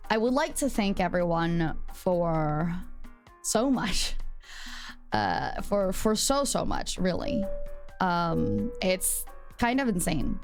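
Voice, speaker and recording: Chatty, foul-mouthed woman streamer. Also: medium pitch. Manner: chatty, swears freely, playful. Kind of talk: casual livestream chat.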